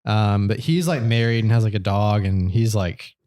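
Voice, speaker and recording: A man's voice: lowish voice, moderate pace, warm tone. A man in his late twenties from the American South, co-hosting a casual podcast and telling a long story with many fillers and restarts. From Georgia, USA. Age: 20s. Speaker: podcast co-host.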